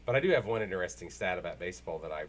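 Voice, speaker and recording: A man hosting a casual, unscripted sports talk show, rambling and halting as he repeats himself. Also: low voice, animated.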